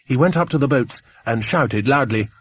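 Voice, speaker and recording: Animated, slightly husky English male narrator. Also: medium pitch, normal speed, dry recording. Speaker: audiobook narrator. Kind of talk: scripted children's adventure narration.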